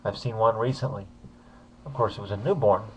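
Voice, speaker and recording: A man's voice: fairly low pitch, a little fast, a bit bright, slight background noise, rough recording. A man casually commenting on a video he uploaded, talking hesitantly with many fillers.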